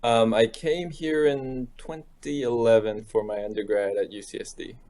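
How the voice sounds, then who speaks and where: A man's voice: mid-range voice, steady tone, normal speed, a little mellow. A man from New Zealand, a guest on a casual podcast, talking easygoingly. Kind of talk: casual chat.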